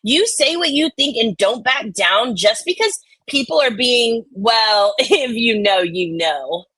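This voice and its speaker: Lively, husky-voiced woman reading aloud. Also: a little high, slow pace, dry recording. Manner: irreverent, swearing. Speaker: co-host reading comments aloud. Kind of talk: dramatic reading of online comments with banter.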